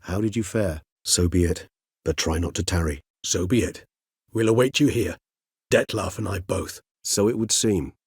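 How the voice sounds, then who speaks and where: A man's voice: sits low, normal speed, husky, no room sound, studio quality. A male actor playing a courteous, erudite and melancholy ancient vampire in a video game, speaking formally and eloquently with a wry, philosophical turn. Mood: measured. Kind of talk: video game character dialogue.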